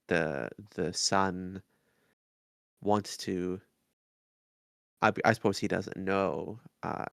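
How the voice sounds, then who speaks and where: A man co-hosting a podcast, discussing things in a measured, thoughtful and somewhat hesitant way. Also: low voice, vocal fry, a bit bright, dry recording, studio quality. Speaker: podcast co-host. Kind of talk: casual analytical discussion.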